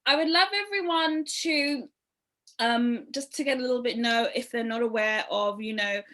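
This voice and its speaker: A woman's voice: a little high, normal speed, bright, forceful tone. A young woman hosting a casual online interview, upbeat and gushing, with many fillers. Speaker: interview host.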